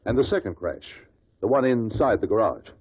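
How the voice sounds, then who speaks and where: Shrewd inquest questioner, low husky voice, formal, insistent, vintage radio drama. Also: male voice, sing-song, moderate pace, no echo. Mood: probing, measured. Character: shrewd male amicus curiae questioning witnesses at an inquest. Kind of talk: 1950s radio drama acting. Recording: muffled old radio recording.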